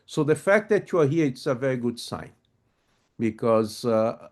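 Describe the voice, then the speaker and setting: A man's voice: medium pitch, animated, moderate pace, a little mellow. A man with a Brazilian Portuguese accent, who first spoke German and French, giving a friendly webinar talk, often hesitant and full of fillers, on a video call. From grew up in a German- and French-speaking home, moved to Brazil. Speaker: webinar presenter on project management. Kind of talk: live webinar presentation.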